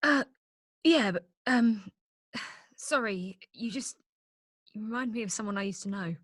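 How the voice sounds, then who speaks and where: A woman's voice: mid-range voice, animated, measured pace, dry recording, studio quality. A woman playing a worn-out, guarded, ageing artist in a scripted drama table read, hesitant and curt. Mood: flustered, guarded. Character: worn-out, guarded, ageing struggling female artist, thrown off track. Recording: video call.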